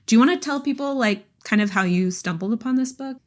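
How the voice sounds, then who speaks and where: A woman's voice: on the high side, fast talker. A woman co-hosting a podcast, talking casually and emphatically about books, with "like" sprinkled through her sentences. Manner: chatty, emphatic, peppers talk with "like". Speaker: podcast co-host. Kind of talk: casual book discussion.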